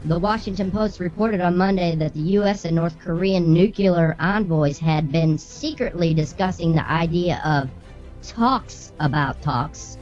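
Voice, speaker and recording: Brash low-voiced woman over background music. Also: unhurried, darkish tone. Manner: brash, bossy, swearing. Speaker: co-host reading the news. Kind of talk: casual comic news banter. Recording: slightly muffled, music underneath.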